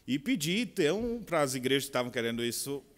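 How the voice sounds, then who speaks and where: A middle-aged man with a Bahian Portuguese accent, preaching a sermon with expressive swings from quiet asides to loud, slow emphasis. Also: medium pitch. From Brazil. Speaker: pastor. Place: large conference hall. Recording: long hall reverb.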